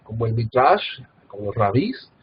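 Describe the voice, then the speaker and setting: A man's voice: medium pitch, big pitch swings, normal speed, breathy edge, muffled recording. A man from Mexico with a Guadalajara Mexican Spanish accent, giving a recorded Bible institute lecture in an earnest manner, with many filler "ah"s and tag questions.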